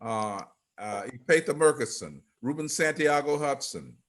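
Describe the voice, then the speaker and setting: An older man giving informal remarks on a group video call in a halting, rambling way. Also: medium pitch, lively intonation, fairly bright tone. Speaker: theatre company leader.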